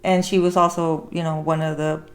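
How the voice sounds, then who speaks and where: A woman's voice: low-pitched, flat delivery, moderate pace. A woman hosting a casual talk show, discussing things in an earnest, meandering, conversational way. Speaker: podcast host.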